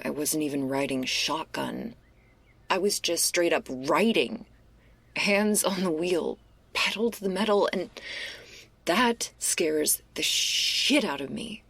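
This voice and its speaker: Slow, husky, low-voiced stoner werewolf girl, acted by a woman. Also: no echo, exaggerated voice. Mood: uneasy, confiding. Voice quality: husky, breathy, creaky. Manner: hesitant, casual slang, drifting between murmur and whisper. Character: laid-back female stoner werewolf, caring but anxious. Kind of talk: intimate ASMR roleplay, spoken to the listener.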